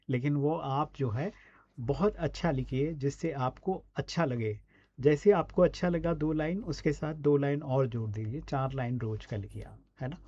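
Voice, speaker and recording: Male Indian presenter-teacher, mellow and a touch husky, conversational and instructive, on a dull lo-fi recording. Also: mid-range voice. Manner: instructive, often adds short check-in tags. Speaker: radio presenter giving a speaking and writing lesson.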